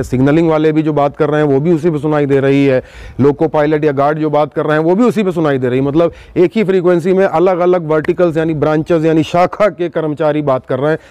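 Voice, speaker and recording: A man hosting an investigative news show, speaking emphatically to viewers with dramatic pauses and rhetorical questions. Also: fairly high pitch, mellow, clear, resonant tone, dry recording. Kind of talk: news exposé monologue to viewers.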